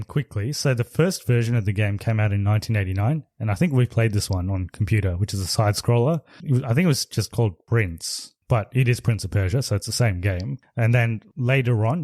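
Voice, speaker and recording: A man's voice: on the low side, brisk, few pauses, darkish tone, dry recording. A man co-hosting a podcast, casually reviewing a movie in a rambling, laid-back way. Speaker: podcast co-host.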